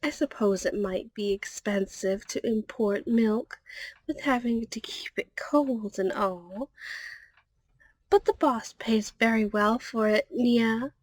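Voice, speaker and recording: A woman's voice: on the high side, takes it slowly, no room sound. A woman playing a friendly, polite catgirl secretary in an ASMR roleplay, chatty, ending sentences with a little meowing tic. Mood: chatty, cheerful.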